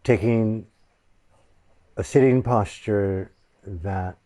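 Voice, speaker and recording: Male meditation teacher, low and warm, very slow and reverent, long pauses. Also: dry recording. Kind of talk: guided meditation.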